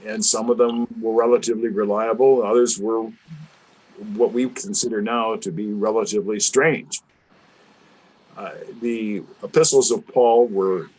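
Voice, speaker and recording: A man's voice: fairly low pitch, rough recording. A man hosting a webinar discussion over a video call, speaking formally and precisely in a lecturing way. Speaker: webinar host.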